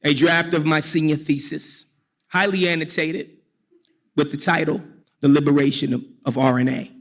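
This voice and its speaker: A man from New York telling a personal story on stage, deliberately and wryly, with dramatic pauses. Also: a little high, fairly even intonation. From New York, United States.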